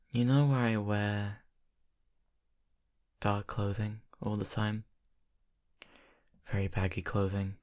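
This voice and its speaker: A man's voice: fairly low pitch, darkish tone. A man playing a brooding young vampire confiding in his best friend in an ASMR roleplay, hesitant and intimate. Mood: hesitant confession.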